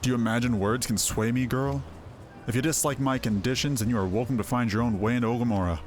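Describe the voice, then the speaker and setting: A man's voice: medium pitch, fairly even intonation, fast talker, a little mellow, lightly acted. A man voicing a stern, sceptical veteran mercenary captain in a fantasy game, speaking in a blunt, formal, archaic way. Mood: scornful. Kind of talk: voiced fantasy game dialogue. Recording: game music behind voice. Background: game music.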